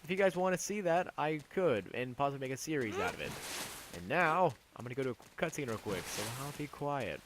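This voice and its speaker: A man's voice: mid-range voice, lively intonation, fairly quick, noisy background. A man giving casual, rambling, self-deprecating video game commentary as a let's-play host. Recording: loud game music behind voice. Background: loud game music.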